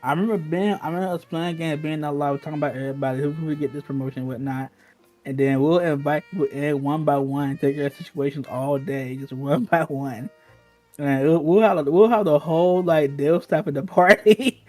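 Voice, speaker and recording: A man's voice: a little high, fairly even intonation, a little fast, darkish tone, faint music behind. A man reminiscing in casual, slangy talk, emphatic and often repeating himself.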